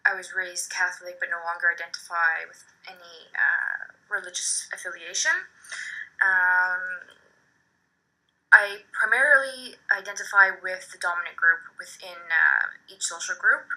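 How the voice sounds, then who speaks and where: A woman's voice: fairly low pitch, bright, forceful tone. A woman in her thirties from Quebec, introducing herself for a course recording, hesitant with frequent fillers and self-corrections. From Quebec, Canada. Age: 30s. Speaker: social work student. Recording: tinny phone-like recording.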